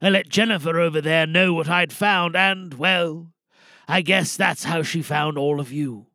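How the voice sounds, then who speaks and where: A man playing a weary, resolute older insurance whistleblower, giving a dramatic speech to a crowd with deliberate pauses. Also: on the high side, pushed and bright, no room sound, clean studio sound. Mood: bitter, intense.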